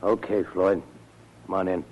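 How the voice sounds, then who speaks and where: A man's voice: low voice, little pitch movement, unhurried, lo-fi sound, slight background noise. An actor playing a contemptuous crime boss, curt and menacing, with slangy tough-guy talk in 1940s radio style. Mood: angry, contemptuous. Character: cold, contemptuous male crime boss in hiding.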